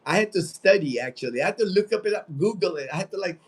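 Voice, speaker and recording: American male livestream host, late 50s, slightly high-pitched voice, loose conversational delivery that wanders and circles back. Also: lively intonation, fast talker, husky. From United States. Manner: rambling, conversational, repeats phrases. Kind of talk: casual livestream chat, reading out viewer comments.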